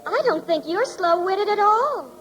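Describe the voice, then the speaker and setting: An actress playing a gushing, grateful young woman, excited, in 1940s radio style. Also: very high-pitched, unhurried, muffled recording, faint music behind, exaggerated voice. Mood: gushing, relieved.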